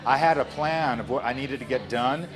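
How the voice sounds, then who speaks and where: A middle-aged man speaking informally and with passion as an instructor, in an animated manner. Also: medium pitch, normal speed, over music. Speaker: early childhood education instructor. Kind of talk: informal classroom lecture and interview talk.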